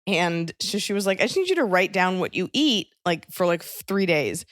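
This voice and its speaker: A woman with a slightly low voice chats casually as a podcast host. She is wry and makes fun of herself as she goes. The sound is close and dry. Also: very animated, normal speed, no echo. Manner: wry, self-mocking. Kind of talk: casual comedic podcast chat.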